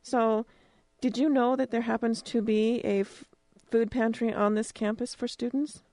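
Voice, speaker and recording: A woman's voice: on the high side, little pitch movement, moderate pace, mellow, no echo. Conversational American woman, dry studio sound. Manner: conversational, plain-spoken. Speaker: campus radio host, interviewer. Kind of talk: informal radio interview. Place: radio studio.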